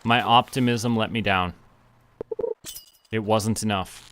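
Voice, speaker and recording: Guy streaming a game, bright kinda low voice, chatting to himself and chat, bit of noise behind. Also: fairly even intonation, slow pace. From Canada. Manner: talking to himself and to viewers. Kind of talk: casual live game commentary.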